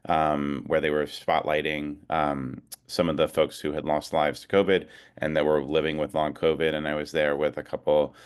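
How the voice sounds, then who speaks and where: A man with an extremely low, clear and resonant voice gives an informal advocacy talk over a video call. He speaks reflectively, feeling his way through his points with frequent hesitations. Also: little pitch movement, moderate pace, hardly any pauses. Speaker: advocacy organization leader, guest speaker. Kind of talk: informal talk at an online rally.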